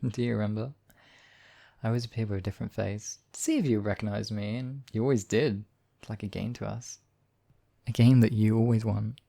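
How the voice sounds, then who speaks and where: A man performs a demon king devoted to his beloved, with a soft, dark timbre full of gravelly creak. He speaks intimately and courteously, with a playful, teasing edge, in the manner of a romantic ASMR audio roleplay. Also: fairly low pitch, steady tone, moderate pace, pauses often. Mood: fond, teasing.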